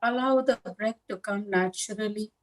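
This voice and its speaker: A woman leading a guided meditation as a teacher, speaking in a calm, measured manner with long pauses between short phrases. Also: medium pitch, low-quality recording. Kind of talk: guided meditation instruction, live session.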